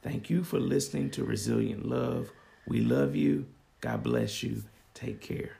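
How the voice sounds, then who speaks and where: Raspy, gravelly-voiced man. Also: mid-range voice, unhurried, warm tone. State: slightly hoarse voice. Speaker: podcast co-host. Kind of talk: faith podcast chat.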